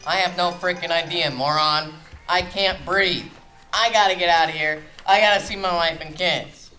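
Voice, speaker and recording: Guy acting a stressed out blustery mob boss, bright tense voice, melodramatic, music underneath, audio comedy. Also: sits high, fairly even intonation, normal speed, rough recording. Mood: panicked. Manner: exasperated, melodramatic mobster talk. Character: stressed, blustering male mob boss secretly running a pizzeria.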